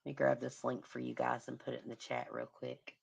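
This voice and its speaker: A woman hosting a casual livestream, commenting on a crime case in a hoarse voice as she loses it and humming to herself. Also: rumbling low, completely flat delivery, a little fast, a little mellow. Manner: murmuring, sympathetic, hums to herself. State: hoarse, losing her voice. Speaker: livestream host.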